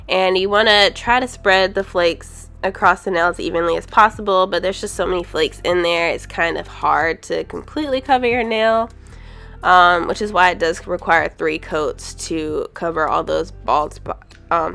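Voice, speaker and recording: A woman's voice: fairly low pitch, creaky, fairly bright tone. Stuffy-voiced woman reviewing nail polish. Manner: easygoing, frequent ums, candid. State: stuffy, congested from a cold. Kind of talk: casual product review voice-over.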